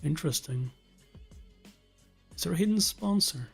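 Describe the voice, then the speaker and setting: A man's voice: on the high side, expressive, normal speed, vocal fry, slightly rough, faint music behind, pitched above the performer's own voice, full character voice. Man acting a pensive, suspicious executive. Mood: musing, suspicious.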